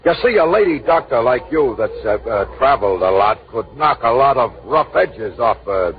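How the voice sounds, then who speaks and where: A man's voice: mid-range voice, lively intonation, normal speed, lightly acted. An actor playing a naive, self-important, prim man in 1940s radio comedy, speaking with stiff, earnest formality. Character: naive, self-important, prim male would-be detective courting a lady. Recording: muffled 1940s radio recording.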